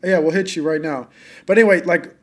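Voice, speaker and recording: A man's voice: on the high side, fairly quick. A man hosting an interview, asking questions in a loose, rambling way full of fillers. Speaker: podcast host. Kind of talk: casual interview chat.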